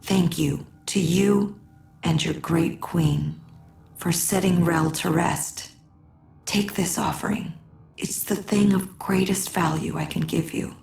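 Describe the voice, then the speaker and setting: A woman's voice: fairly low pitch, fairly even intonation, creaky, darkish tone, heavily acted. An actress playing a solemn, grateful woman who speaks reverently and ceremoniously in a game cutscene. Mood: reverent, grave. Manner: slow, ceremonious delivery. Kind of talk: dramatic game cutscene acting. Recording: echoey, with faint music.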